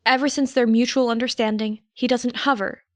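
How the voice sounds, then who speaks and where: A woman's voice: fairly high pitch, fairly even intonation, brisk, no echo. A woman reading a story aloud as scripted narration. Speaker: podfic narrator.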